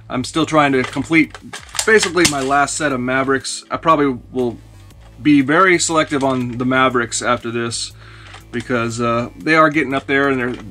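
Man, 40s, toy reseller vlog, relaxed rambling, bright tone, small toy room. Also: mid-range voice. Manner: relaxed, rambling with many "uh" fillers. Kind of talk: casual haul video. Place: small indoor toy room lined with shelves.

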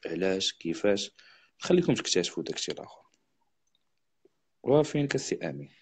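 A man with a Moroccan accent hosting a live stream, chatting quickly with viewers and a guest. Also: low voice, big pitch swings, creaky, slightly rough. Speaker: livestream host. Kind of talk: casual live chat and storytelling.

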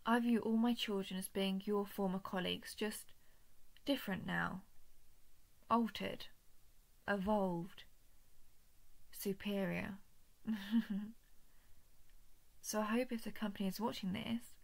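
A woman's voice: mid-range voice, fairly even intonation, low-quality recording. A woman voicing a smug, menacing alien parasite in a scripted audio drama, patient and taunting, often dropping toward a whisper. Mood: gloating. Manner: breathy whispering, slow taunting delivery. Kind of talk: scripted roleplay audio drama, intimate monologue.

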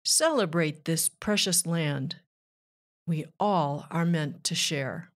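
A woman reading a rhyming children's book aloud with measured, deliberate phrasing. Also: low-pitched. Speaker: children's author and narrator.

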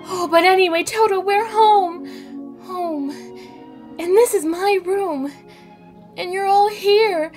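A woman's voice: very high-pitched, takes it slowly, pauses often, a little mellow, soft background music. A woman voicing an earnest, innocent, emotional Kansas farm girl in a theatrical classic-film dub. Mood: earnest, joyful. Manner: earnest, girlish, pleading.

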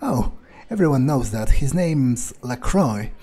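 A man improvising in-character game narration, halting and sweary as he mutters to himself. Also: medium pitch, lively intonation, warm tone, breathy edge. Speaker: player role-playing his game character.

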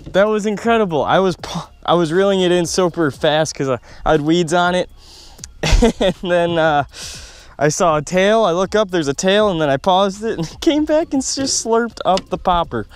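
A man's voice: sits high, a little hiss or hum. A young man fishing alone from a small boat on a lake, chatting to the camera in a running commentary. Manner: muttering to himself, then excited and laughing. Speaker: fishing vlogger. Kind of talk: solo outdoor fishing commentary. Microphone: camera mic on the boat, wind and water around.

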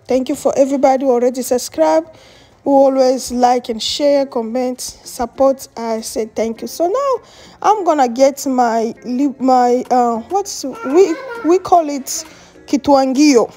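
A woman's voice: sits high, a little slow, warm tone, faint music behind. A woman who speaks English as her second language, chatting casually through a home cooking vlog, laughing often and searching for words. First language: French or Swahili. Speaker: cooking vlogger. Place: outdoors on a patio and in a home kitchen.

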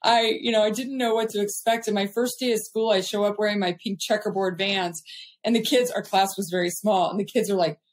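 A middle-aged woman from California talks as an interview guest, upbeat and chatty, with plenty of small fillers. Her voice sits at an ordinary middle pitch. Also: fairly even intonation, brisk. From California, USA. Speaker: guest entrepreneur. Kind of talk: casual interview storytelling.

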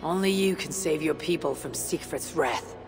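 A woman playing a stern, honor-bound Viking leader, speaking in a measured, grave way. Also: deep voice, big-room sound, over music, close to an everyday voice.

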